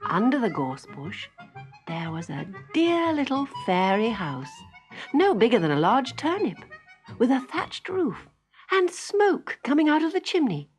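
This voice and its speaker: A woman narrating a children's story in an expressive storytelling manner. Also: a little high, a little slow. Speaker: storybook reader. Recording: faint music behind the reading.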